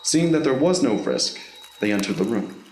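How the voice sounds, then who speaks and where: A man's voice: mid-range voice, very animated, normal speed, darkish tone, a little hiss or hum. A man reading scripted narration for an audio drama story. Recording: roomy, echoing voice recording.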